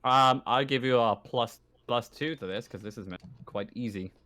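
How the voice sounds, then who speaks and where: A man narrating an improvised tabletop roleplaying game as game master, describing things in a casual, halting way. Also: medium pitch, pushed and bright.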